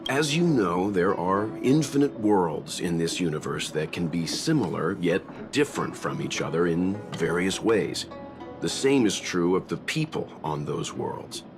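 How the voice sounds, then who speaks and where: A man voicing a calm, thoughtful gentleman mentor in a video game, speaking in a measured, courteous and serious way. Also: sits low, over music. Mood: grave, explanatory. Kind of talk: video game English dub acting.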